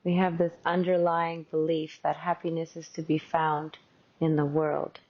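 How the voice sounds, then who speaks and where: A woman leads a guided meditation at a very slow pace, in a fairly low voice. Her delivery is gentle and conversational, like a teacher softly instructing. The recording sounds slightly dull and lo-fi. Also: flat delivery.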